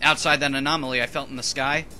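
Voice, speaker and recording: A man playing a blunt, tough but good-humored hero with a forceful manner in an audio drama. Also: medium pitch, steady tone, fast. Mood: blunt, determined.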